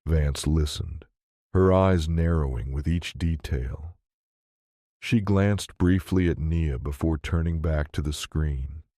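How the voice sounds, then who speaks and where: Very deep, mellow male audiobook narrator. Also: takes it slowly, no room sound, clean studio sound. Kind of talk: scripted science fiction narration.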